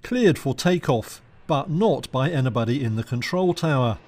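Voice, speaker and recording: A male news reporter delivers scripted narration. His pitch sits in the middle range for a man, and he reads in the measured manner of a broadcast report. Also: animated, a little mellow.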